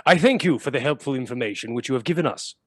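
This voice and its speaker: An actor playing a courteous police official questioning a suspect in a radio play, formal and measured. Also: male voice, medium pitch, lively intonation, no room sound, studio quality, lightly acted. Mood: formal, insistent.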